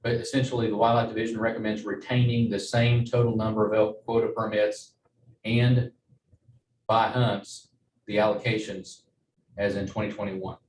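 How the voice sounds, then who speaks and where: A man giving a formal staff presentation at a meeting, in a halting, measured way. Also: lowish voice, level tone, darkish tone. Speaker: wildlife agency staff presenter. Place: meeting room. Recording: roomy, distant mic, faint noise.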